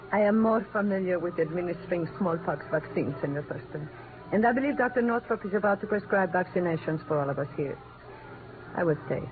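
An actress playing an earnest, firm clinic worker who speaks formally and carefully, in 1950s radio drama style. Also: female voice, lowish voice, lively intonation, brisk, phone-quality sound, natural voice. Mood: resolute. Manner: formal, careful phrasing without contractions. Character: earnest, firm woman working at a jungle clinic. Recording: thin, band-limited old radio recording.

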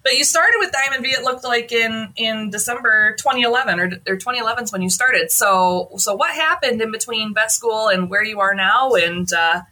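Bright-voiced woman hosting a podcast. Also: fairly high pitch, normal speed, hardly any pauses, rough recording. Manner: chatty, many fillers and restarts. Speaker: podcast host. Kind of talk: casual interview intro.

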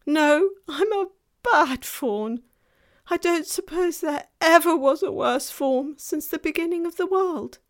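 A woman plays a gentle male fawn in a children's story, racked with guilt and fear. The voice is high and very slow, full of anguished self-reproach and melodrama. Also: animated, exaggerated voice. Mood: ashamed, wailing. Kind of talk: dramatized children's storybook reading.

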